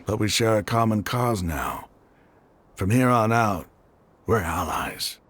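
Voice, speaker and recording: An actor playing a gruff but easygoing male desert mercenary in a video game, gravelly and plain-spoken. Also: lowish voice, animated, slow pace, fairly bright tone, a little hiss or hum. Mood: assured.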